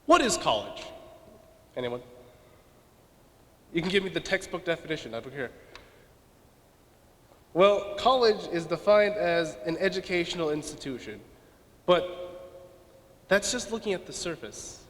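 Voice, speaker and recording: A man's voice: a little high, big pitch swings, a little slow, long pauses. A young man from Nevada, a student giving a self-deprecating speech with joking asides at a donor event. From Las Vegas, Nevada. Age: young adult. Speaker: student speaker. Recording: reverberant hall.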